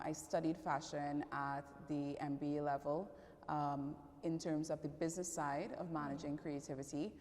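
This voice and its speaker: A woman with a Trinidadian accent answers interview questions conversationally. Her voice is notably low for a woman, and it rings with the long reverb of a big hall. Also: darkish tone, a little hiss or hum. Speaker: interview guest, fashion and public relations professional. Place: large echoing indoor event venue.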